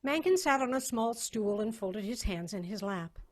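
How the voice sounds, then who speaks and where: A woman narrator giving a measured, literary dramatic reading of a story over a video call. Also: a little high, expressive.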